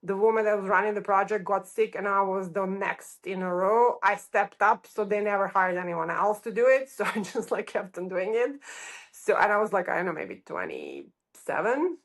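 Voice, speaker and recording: A woman's voice: mid-range voice, lively intonation, moderate pace. A middle-aged woman from Serbia with a Serbian accent, telling her story as an interview guest in an informal, chatty way peppered with "like". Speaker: architect, podcast guest.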